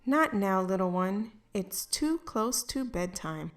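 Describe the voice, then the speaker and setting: A woman reading a mother's part in a family picture-book read-aloud, gently telling her little girl it is bedtime. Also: medium pitch, lively intonation, takes it slowly. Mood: gentle.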